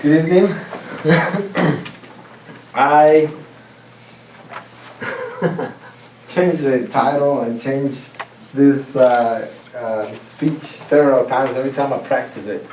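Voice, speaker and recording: A man's voice: medium pitch, darkish tone. Distant, echoey man telling funny stories. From Costa Rica. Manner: laughs at own stories, halting restarts. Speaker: contest speaker. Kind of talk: humorous contest speech. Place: club meeting room. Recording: roomy, distant mic, faint hiss.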